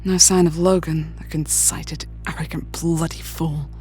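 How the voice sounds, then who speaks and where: Weary heroine, lower register, articulate, proper, superhero audio drama, light score behind. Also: female voice, steady tone, unhurried, a little mellow, breathy edge, faint music behind, full character voice. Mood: exhausted irritation. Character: weary, irritated female telepathic heroine, proper and brisk.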